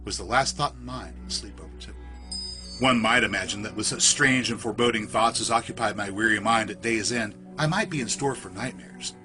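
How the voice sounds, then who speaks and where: A man reading a scripted first-person horror story in a literary, measured, suspenseful storytelling manner. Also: lowish voice, over music. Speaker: storyteller, podcast narrator.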